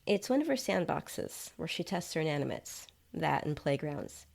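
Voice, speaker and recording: Casual tech inventor in a body-swap audio story, female reader, low and gravelly, explaining matter-of-factly. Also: sing-song, moderate pace, a little mellow, full character voice. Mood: casual, chatty. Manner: nonchalant, chatty, explanatory. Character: nonchalant male app developer inhabiting another's body.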